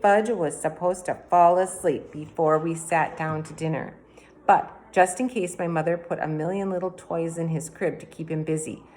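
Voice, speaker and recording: A woman reading a children's book aloud in a plain, even storytelling manner. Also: on the low side, moderate pace, a little hiss or hum, low-quality recording. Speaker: reader.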